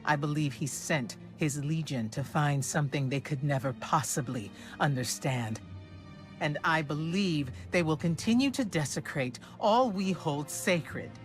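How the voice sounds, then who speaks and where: A grave, commanding warrior-mage. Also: female voice, low voice, faint music behind. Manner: solemn, measured, commanding. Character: grave, wise woman warrior-mage, weary leader. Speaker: game character. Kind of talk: video game cutscene acting. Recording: game mix with loud score.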